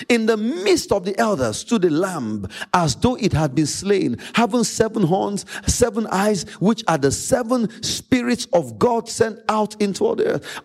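High, animated Nigerian male preacher. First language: Yoruba. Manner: emphatic, repeats phrases, dramatic pauses. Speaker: pastor. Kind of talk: preaching a sermon.

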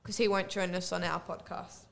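A twenty-year-old woman hosting a casual group chat, teasing gently and prompting others with follow-up questions. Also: on the low side, little pitch movement, moderate pace, vocal fry. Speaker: podcast host.

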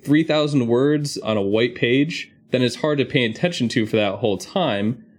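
A man's voice: medium pitch, normal speed. A man hosting a podcast, explaining things in a structured, conversational way. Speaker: podcast host.

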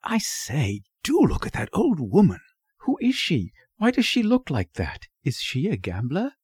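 A man's voice: a little high, wildly swooping pitch, a little slow, darkish tone, husky, no echo, studio quality, voice pushed up, full character voice. A man voicing an eager, curious young boy with a chirpy, inquisitive manner in a storybook reading.

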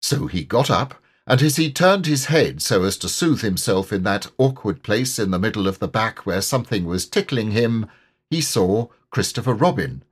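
Animated male storybook narrator, slightly husky. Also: fairly low pitch. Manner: expressive, measured. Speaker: audiobook reader.

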